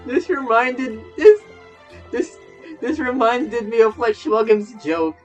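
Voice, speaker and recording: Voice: mid-range voice, takes it slowly. A young adult streamer giving casual, rambling gaming commentary and often repeating phrases. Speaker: streamer, virtual YouTuber. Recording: voice over game music. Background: soft game music.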